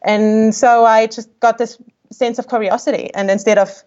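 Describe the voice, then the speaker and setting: A woman's voice: a little high, flat delivery, normal speed, darkish tone, highs cut off. A woman in her twenties from Austria, with an accent mixing Austrian and New Zealand tones, chatting as a podcast guest, often laughing and restarting her sentences. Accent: Austrian with a New Zealand tinge. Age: 20s. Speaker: podcast guest, travel photographer. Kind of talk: casual interview chat.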